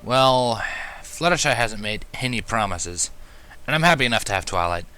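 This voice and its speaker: A man's voice: on the low side, lively intonation, normal speed, sharp tone, clean tone, poor audio. A man voicing an earnest, friendly and slightly awkward young pony stallion in a storybook reading. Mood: sheepish.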